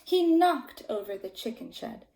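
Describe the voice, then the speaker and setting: A woman's voice: on the high side, sing-song, measured pace, darkish tone, reverberant. A woman reading a storybook aloud to young children like a teacher, with simple, emphatic phrasing.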